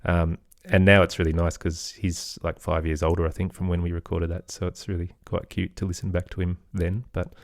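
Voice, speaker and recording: Middle-aged male podcast guest, very low register, close dry sound, hesitant and conversational. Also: flat delivery, fairly quick, few pauses, no room sound. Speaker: podcast guest, audio storyteller and producer. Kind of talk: casual interview conversation.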